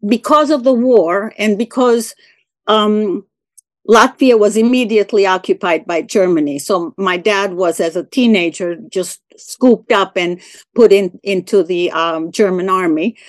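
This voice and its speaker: Older woman, halting friendly talk. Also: medium pitch, no room sound. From Venezuela. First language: Spanish. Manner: hesitant, many fillers and restarts, laughs easily. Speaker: interviewee. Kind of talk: conversational oral-history interview.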